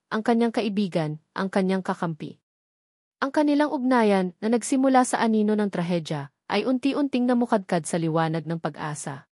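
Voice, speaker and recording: A woman reading a scripted dramatic story in a measured, solemn storytelling manner. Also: mid-range voice, darkish tone, dry recording, clean studio sound. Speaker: story narrator.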